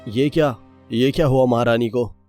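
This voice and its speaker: A man's voice: medium pitch, moderate pace, a little mellow, lightly acted. A man voicing an anxious, stern king in a cartoon folktale, speaking in a formal, earnest way. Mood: alarmed. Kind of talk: Hindi moral-story cartoon dubbing. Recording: voice over prominent background music.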